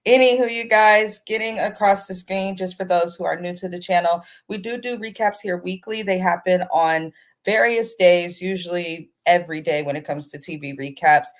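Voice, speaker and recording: A woman with a somewhat low voice hosts a chatty TV recap. She sounds under the weather, a little congested and not quite crisp. Her delivery is informal and aimed straight at her viewers. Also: normal speed. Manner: informal, addresses viewers directly as "you guys". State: under the weather, sore throat with throat clearing. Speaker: host of a TV recap channel. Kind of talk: casual, chatty reality TV recap.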